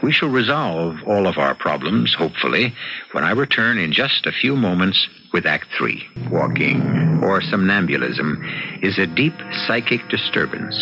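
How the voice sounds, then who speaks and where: A man hosting and narrating an old-time radio mystery in a deliberate, erudite, dramatic manner. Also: deep voice, sing-song, pushed and bright. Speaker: host and narrator. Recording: dull old radio sound with music.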